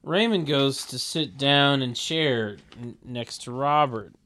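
A man's voice: mid-range voice, takes it slowly, bright, forceful tone. A man reading stage directions as narrator at a comedy table read, in a wry, mock-formal manner.

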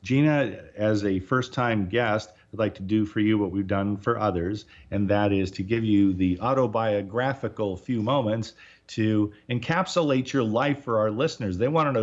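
A man hosting a talk radio show, introducing his guest in a measured, formal and wordy way. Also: lowish voice, muffled recording. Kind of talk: radio interview.

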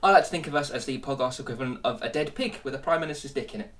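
Fast-talking, jokey man. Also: medium pitch, a bit bright, far from the mic. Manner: jokey, teasing. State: stuffy with a cold. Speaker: podcast host. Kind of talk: casual film-review podcast chat.

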